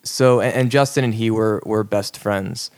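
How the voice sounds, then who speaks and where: A young man hosting a casual podcast interview, relaxed and thinking aloud with many pauses. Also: lowish voice. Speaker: podcast host.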